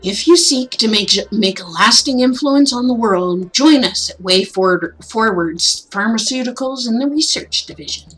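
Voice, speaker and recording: Lady doing an intense science guy from a visual novel, grand deliberate pitch, husky voice. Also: lively intonation, a bit bright, faint music behind, rough recording. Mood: grandiose, intense. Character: intense, visionary male medical researcher pitching to students.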